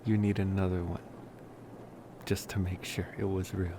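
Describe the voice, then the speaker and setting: A man playing an affectionate young college vampire in an intimate ASMR boyfriend roleplay, speaking softly and gently in short, hesitant phrases. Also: low voice, flat delivery, moderate pace, background noise, lightly acted. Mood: playful, tender.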